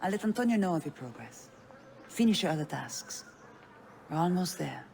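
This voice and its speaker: A woman's voice: lowish voice, lively intonation, normal speed, vocal fry, slight background noise. An actress playing a spirited, playful female thief and mentor in a video game. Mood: brisk, encouraging. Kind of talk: video game cutscene acting. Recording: game dialogue under loud music.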